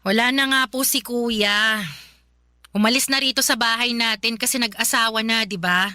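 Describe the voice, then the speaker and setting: A woman's voice: fairly high pitch, fairly even intonation, moderate pace, sharp tone, no echo, studio quality. A woman playing a hurt, unloved grown daughter in a Tagalog radio drama, pleading and aggrieved. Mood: exasperated. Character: hurt, unloved adult daughter caring for ailing mother. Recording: music bed behind the voice.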